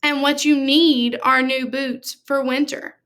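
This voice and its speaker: A woman reading the part of a practical, kindly grandmother in a storybook, firm but gentle. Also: high-pitched, level tone, slow pace.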